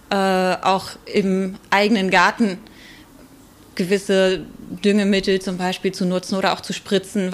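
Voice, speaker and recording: A woman with a mid-pitched voice gives explanations as an expert guest on a podcast. She talks in a measured manner, pausing now and then to find the next word. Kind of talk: explanatory podcast interview talk.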